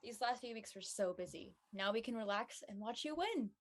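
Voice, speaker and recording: A supportive teenage girl, played by a woman in a scripted drama. She sounds casual and slangy, a little worried, with a moderately high voice. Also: lively intonation, normal speed. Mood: mild, concerned.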